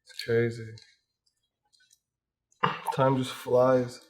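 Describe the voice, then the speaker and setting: A young man from Palestine, a hip hop artist, chatting in a low-key, laid-back way while getting a haircut in a barbershop. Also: monotone, drawn-out words, darkish tone. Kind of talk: casual interview chat.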